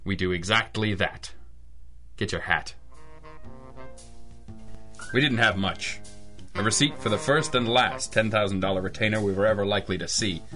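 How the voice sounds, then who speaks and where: An actor playing a tough, sardonic, world-weary private eye with a dry manner in an old-style radio drama. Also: male voice, on the low side, moderate pace, long pauses, a bit bright, faint music behind, natural voice. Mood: dry narration. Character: tough, sardonic male hard-boiled private eye, war veteran.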